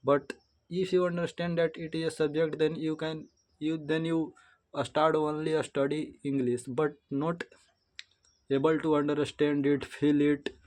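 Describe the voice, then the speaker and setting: A young Indian man with a fairly high voice speaks in unhurried, hesitant learner's English. He often stops and starts over, correcting himself as he goes, and keeps a plain conversational tone. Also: level tone, darkish tone. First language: Hindi. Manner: halting, slow, frequent restarts and self-corrections. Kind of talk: unscripted practice monologue.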